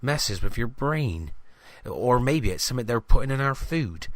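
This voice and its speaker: A man's voice: medium pitch, big pitch swings, normal speed, no room sound, close to an everyday voice. A man voicing an older, paranoid village greengrocer in a comic audio drama, chatty and emphatic, with a rustic dialect. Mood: rambling, conspiratorial.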